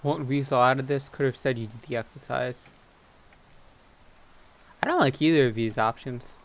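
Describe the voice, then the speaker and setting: A man hosting a gaming video with casual, low-key commentary, at times reading game text aloud. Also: normal speed. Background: game music.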